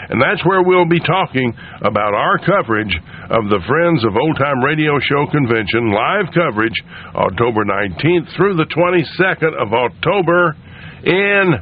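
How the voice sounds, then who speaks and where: A man's voice: on the high side, slight background noise. A man hosting on radio, making a folksy promo and appeal straight to listeners in a conversational way, with narrow broadcast sound. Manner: conversational, direct address to listeners. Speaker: radio station host.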